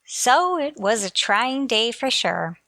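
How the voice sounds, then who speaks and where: Dry, bright-voiced, animated schoolteacher played by an actress. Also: female voice, fairly high pitch, slow, no room sound, full character voice. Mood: wry. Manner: dry, sarcastic delivery. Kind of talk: 1940s radio sitcom acting.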